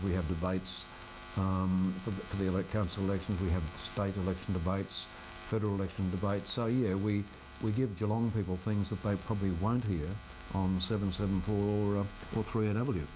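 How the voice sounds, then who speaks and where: A man's voice: low voice, steady tone, fairly quick, background noise. An older Australian man, a local radio presenter, chatting informally in an interview with a wry, self-deprecating manner. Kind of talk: casual interview chat. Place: radio studio.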